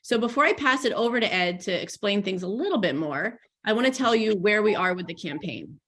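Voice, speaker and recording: A woman's voice: medium pitch, lively intonation, brisk, a bit bright, a little hiss or hum. Woman, webinar host, stuffy from a cold, upbeat, video-call audio. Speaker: host, program director. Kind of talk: hosting a webinar briefing.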